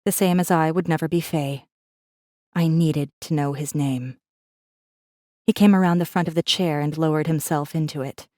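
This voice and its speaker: Low, mellow woman, acting a guarded rebel. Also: normal speed, no echo, clean studio sound, natural voice. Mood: guarded, observant. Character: guarded, defiant young woman, human rebel messenger. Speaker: audiobook narrator. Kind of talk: first-person scripted narration, audiobook.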